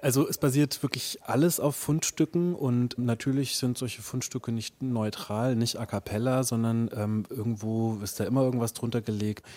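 A man's voice: mid-range voice, steady tone, normal speed, hardly any pauses, a little mellow, no room sound. A man being interviewed as a musician and author, talking reflectively and often searching for the right words. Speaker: interview guest, musician and radio play author.

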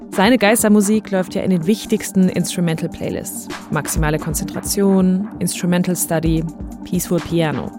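Woman narrating a podcast over music. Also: on the low side.

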